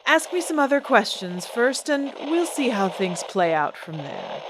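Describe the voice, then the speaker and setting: An actress playing a proud, guarded singer and stage star, theatrical and poised in an old-time radio drama style. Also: female voice, fairly high pitch, sing-song, a little slow, heavy background noise. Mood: guarded, cool. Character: proud, guarded female singer-actress, a glamorous celebrity diva. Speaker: celebrity interview guest.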